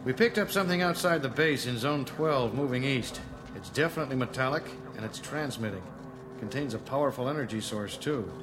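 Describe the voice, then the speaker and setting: A man's voice: medium pitch, lively intonation, brisk, noisy background, lightly acted. A man playing a grave, commanding base general in a radio drama, speaking in a firm, businesslike way. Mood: serious.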